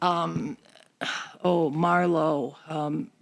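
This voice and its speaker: An older American woman with a creaky voice, chatting conversationally and announcing an event as a member of a church group. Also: deep voice, level tone, drawn-out words. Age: older, over 50.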